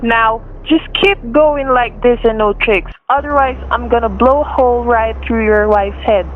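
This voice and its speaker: A menacing young gunman played by a woman, pitched high and barking threats and commands. The radio-play sound is thin, phone-like and noisy. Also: unhurried, close to an everyday voice. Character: menacing young male killer hijacking a car at gunpoint. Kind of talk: radio suspense acting.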